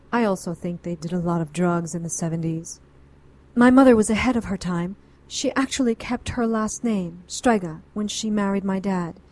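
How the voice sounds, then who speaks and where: A woman's voice: medium pitch, a little mellow, natural voice. An actress playing an anxious, educated middle-aged woman client in a noir radio drama, earnest and pleading.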